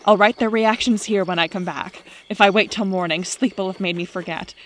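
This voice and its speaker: A woman's voice: mid-range voice, brisk, slight background noise, lightly acted, low-quality recording. A woman acting a skeptical, sarcastic young prankster in an audio drama, with a dry delivery. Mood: uneasy. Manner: dry, sardonic.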